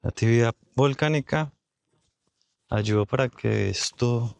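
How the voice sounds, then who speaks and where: A man with a hoarse, slightly rough voice records a travel vlog out in the desert. He talks at a mid pitch, chatty and thinking aloud to the viewers. The sound is dry, with little room around it. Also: unhurried, darkish tone, no echo. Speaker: travel vlogger. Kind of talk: casual on-location vlogging. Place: outdoors in a desert national park.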